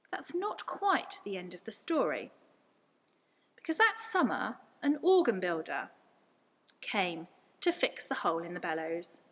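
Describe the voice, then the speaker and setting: A woman's voice: on the low side, sing-song, measured pace, long pauses, fairly bright tone. A woman reading a scripted story aloud as a storyteller. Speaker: story reader.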